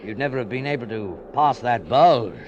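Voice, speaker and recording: An actor playing a weary, gentle, self-doubting invalid mountaineer in a 1940s radio drama, speaking hesitantly and reassuringly, on a noisy vintage broadcast. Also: male voice, mid-range voice, expressive, normal speed, highs cut off, natural voice. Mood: calm, friendly.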